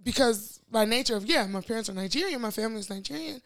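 A woman's voice: on the high side, animated, fast talker, creaky, fairly bright tone. A young woman co-hosting a podcast, talking casually and thoughtfully, reflecting with many fillers. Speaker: podcast co-host. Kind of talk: casual chat.

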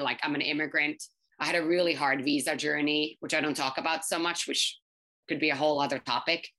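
A woman in her thirties, English not her first language, giving quick, chatty interview answers full of fillers and restarts. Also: deep voice, bright, forceful tone. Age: 30s. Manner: fast, filler-heavy, many restarts. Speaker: startup founder.

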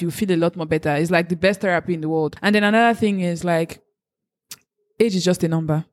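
A woman from Africa in a casual chat, giving earnest, faith-driven encouragement. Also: on the low side. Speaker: co-host giving advice.

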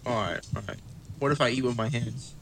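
A man's voice: mid-range voice, brisk, a bit bright, rough recording. A man co-hosting a casual podcast, laid-back and hesitant, often repeating words as he thinks. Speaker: podcast co-host.

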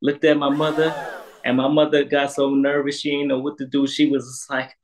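Animated New man telling stories. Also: mid-range voice, fairly quick. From Harlem, New York. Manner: animated, laughs through stories. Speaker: guest. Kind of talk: casual storytelling.

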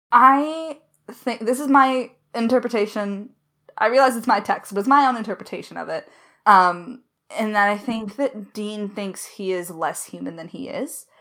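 A woman talking casually and candidly as a creator in a behind-the-scenes discussion, animated and quick to swear. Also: fairly low pitch. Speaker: podcast creator answering questions.

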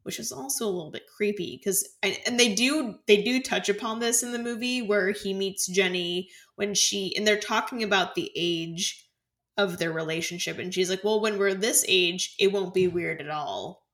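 A woman's voice: mid-range voice, normal speed, fairly bright tone. A woman co-hosting a casual film discussion, explaining her thoughts in a conversational, rambling way. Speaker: podcast co-host discussing a film.

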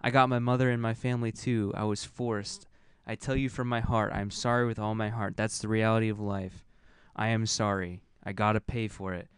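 A man's voice: lowish voice, flat delivery, normal speed, clean tone, lightly acted. A man voicing a remorseful condemned former gang member, earnest and solemn, begging forgiveness in a comedy reading.

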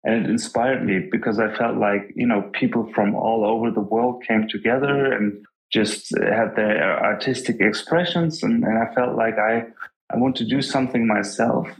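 A man's voice: lowish voice, normal speed, poor audio. A young man from Germany, a law student and pixel artist, answering podcast interview questions in a hesitant, humble way with many restarts. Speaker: guest artist.

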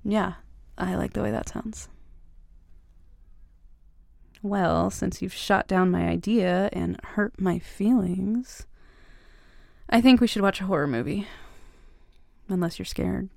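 Young woman, voice actress, creaky voice, teasing older-sister type, casual halting delivery, intimate roleplay, very dry recording. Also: medium pitch, darkish tone, no room sound. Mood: playful teasing. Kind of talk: intimate ASMR-style romantic audio roleplay.

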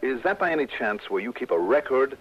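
An American man playing a shrewd, wry insurance investigator in a 1960s radio detective drama, speaking deliberately as he reasons through his case. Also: medium pitch, very animated, husky. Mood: probing, businesslike. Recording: dull vintage radio recording with music.

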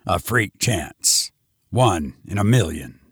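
A gruff, heavyset police commissioner, voiced by a male narrator, dropped low and quiet. He speaks in short, measured phrases, and the sound is close and dry. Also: lively intonation, no echo, exaggerated voice. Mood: grave.

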